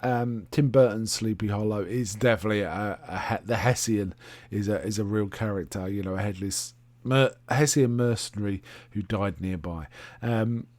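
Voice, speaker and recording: Halting man in a dry recording. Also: lowish voice, darkish tone, dry recording. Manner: halting, rambling, self-correcting delivery. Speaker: host reviewing film and television. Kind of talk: casual solo review talk.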